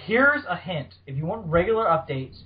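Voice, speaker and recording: A man with a slightly high voice co-hosts a casual panel podcast. He talks in a teasing, loosely profane way among friends. The audio is a bit dull and lo-fi. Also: sing-song, moderate pace. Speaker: podcast host. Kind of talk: casual panel chat about fan fiction.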